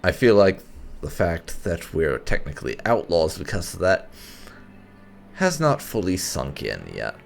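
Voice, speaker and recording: A man's voice: sits low, sing-song, a little slow, vocal fry. A man giving casual gameplay commentary as host, musing and thinking aloud. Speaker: gaming video host. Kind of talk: casual gameplay commentary, lightly role-played. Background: game music.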